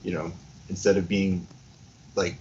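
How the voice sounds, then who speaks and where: Male co-host, lowish voice, laid-out in loose slangy chat over muffled lo-fi audio. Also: level tone, a little slow, darkish tone. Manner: loose, colloquial, filler-heavy phrasing. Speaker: political talk show co-host. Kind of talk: casual chat, commentary. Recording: dull lo-fi audio with noise.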